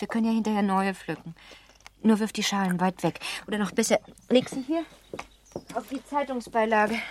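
A woman acting a lively, playful, well-born girl of seventeen in a German radio play, chatty and teasing. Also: mid-range voice, natural voice. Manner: chatty, teasing, self-assured.

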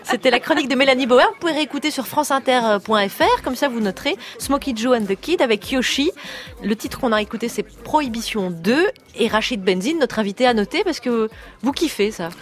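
A woman with a fairly high voice hosts a live radio show. She announces guests and segments in a brisk, friendly way. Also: expressive. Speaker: radio host. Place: radio studio.

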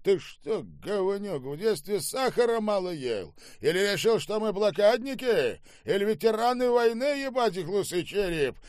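Man acting a foul-mouthed, high-pitched raging bully. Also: big pitch swings, normal speed, few pauses, a little mellow, no room sound, clean studio sound. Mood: furious. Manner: barking, swearing, sneering. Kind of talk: audiobook character voice.

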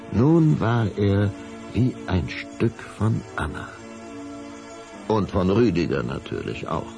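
A man's voice: low-pitched, creaky. A man narrating a children's radio play as a scripted storyteller.

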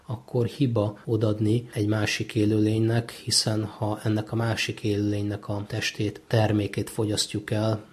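A man's voice: lowish voice, slight background noise. A man giving explanatory answers as an interviewed expert.

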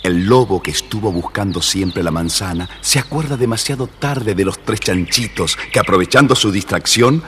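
A man's voice: deep voice, fairly bright tone. A man narrating a children's story in a dramatic, scripted storyteller style. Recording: reverberant, slightly noisy story record.

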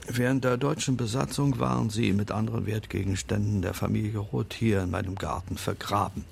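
Discreet older gentleman, low gravelly voice, measured and formal, radio play, faint background noise. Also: fairly even intonation. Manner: measured, formal, pausing between phrases. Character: formal, discreet older male confidant recounting family secrets. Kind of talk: radio drama dialogue.

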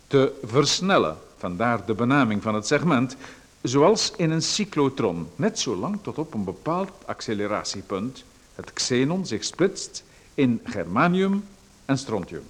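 An actor playing a pushy male salesman, lecturing smoothly and persuasively about a dubious hair machine. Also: mid-range voice. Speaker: radio drama actor. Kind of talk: radio play acting.

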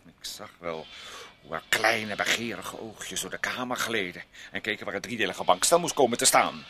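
A man's voice: lowish voice, animated, moderate pace, very noisy. A man acting in a Dutch radio play as a domineering, jealous bully with a sneering, taunting manner. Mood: scornful, taunting.

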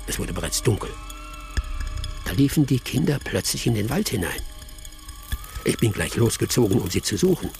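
An actor playing a Ghanaian villager who tells old tales in a radio drama, vivid and dramatic, like an oral storyteller. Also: male voice, medium pitch, creaky, darkish tone. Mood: reminiscing, suspenseful. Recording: music behind the voice. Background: music.

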